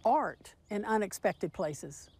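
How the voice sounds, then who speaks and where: A woman's voice: medium pitch, expressive, takes it slowly, a little mellow, husky. A woman in her sixties answering interview questions outdoors with a lapel mic, plain and friendly with small fillers. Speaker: interviewee, local quilter. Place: outdoors in a rural yard.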